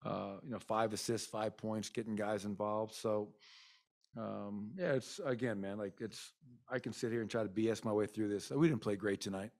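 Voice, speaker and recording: A middle-aged man, a basketball coach, talking candidly at a postgame press conference into a desk mic, rambling with many fillers. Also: lowish voice. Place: arena press room. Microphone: desk mic in front.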